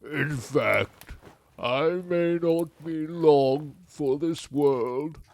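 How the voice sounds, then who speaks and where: Grumpy, wheezy, melodramatic storybook bear. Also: male voice, on the high side, darkish tone, voice pushed up. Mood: self-pitying. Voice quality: raspy, wheezy, growling. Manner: melodramatic, pompous, drawn-out complaining. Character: grumpy, self-pitying big brown bear with a cold. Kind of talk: children's storybook read-aloud.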